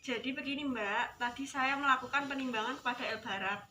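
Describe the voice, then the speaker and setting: A woman plays a polite, slightly puzzled working mother in her late twenties. The role-play dialogue sounds rehearsed, recorded in an echoey room with clear background noise. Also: high-pitched, fairly quick, pushed and bright.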